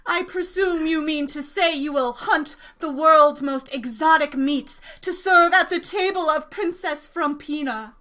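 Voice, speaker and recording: A high, bright-voiced queen, acted by a woman, imperious and scheming, an exasperated mother underneath. She speaks in regal, theatrical phrases suited to a comic sketch. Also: unhurried, hardly any pauses. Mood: gracious, encouraging.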